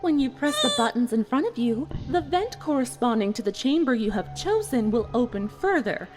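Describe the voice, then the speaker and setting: A woman voicing a calm, smug, sadistic pony villain in a dramatic story reading, speaking in a deliberate, taunting, formal way. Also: a little high, over music. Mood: smug, gloating. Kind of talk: dramatic fan fiction reading.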